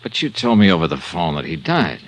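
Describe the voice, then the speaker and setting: A man's voice: low voice, sing-song, brisk, poor audio. An actor playing a wry, pushy male insurance investigator who also narrates, in 1950s radio detective style. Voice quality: wisecracking, clipped. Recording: old radio broadcast with audible hiss.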